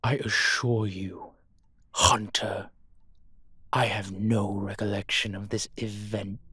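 A man voicing a proud, disdainful old vampire in an audio roleplay, speaking breathily in formal, measured phrases. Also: lowish voice, little pitch movement, pushed and bright, breathy edge, no echo, studio quality, full character voice. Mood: haughty denial.

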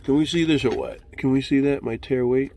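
A man's voice: medium pitch, fairly quick, a little mellow, faint music behind. A man in his forties, a truck driver vlogging, explaining things step by step to viewers while filming on a phone at arm's length, first outdoors by his truck and then in the cab. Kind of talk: casual explanatory vlog. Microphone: handheld phone at arm's length.

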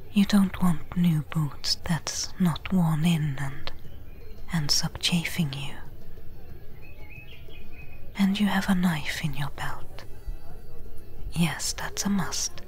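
A seasoned female adventurer, played by a woman, whispers practical advice in a fantasy ASMR roleplay. Her voice is breathy and a little low. She takes her time over each phrase, gentle and caring, like someone readying a companion for the desert. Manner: gentle, unhurried, advising.

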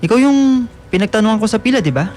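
A man playing a friendly, gentle high school boy with a casual, teasing way of talking in a radio drama. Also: animated, fast talker. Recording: music under the voice.

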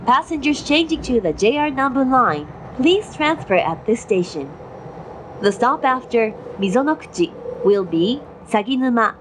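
A woman giving formal, measured railway announcements from a script. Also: a little high, expressive. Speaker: train announcer. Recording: noisy onboard train recording. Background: train running noise.

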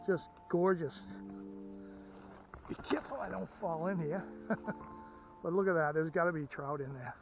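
A middle-aged man with a slightly high voice talks folksily and enthusiastically to his camera, as an outdoor vlogger would. The sound is a little muffled, with some music behind him. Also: moderate pace, creaky, pauses often. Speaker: outdoors and ham radio vlogger. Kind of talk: casual vlog commentary. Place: outdoors, by a stream and at a parked car.

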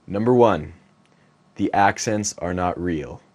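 Man playing a low-voiced, baffled bandmate. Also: takes it slowly, a little mellow. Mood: dismissive, baffled. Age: young adult. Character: baffled, dismissive young ex-bandmate with guitar. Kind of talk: mockumentary interview. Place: small cluttered indoor music room.

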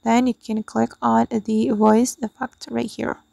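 A woman's voice: fairly high pitch, level tone, a little slow, gravelly, mellow, no room sound. A woman hosting a tutorial, narrating an app walkthrough step by step. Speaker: tutorial host.

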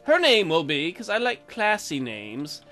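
A man's voice: fairly high pitch, wildly swooping pitch, unhurried, sharp tone, soft background music. A man giving comedic let's play commentary, reading game text aloud in a goofy, crude, improvised way. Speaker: gaming video host.

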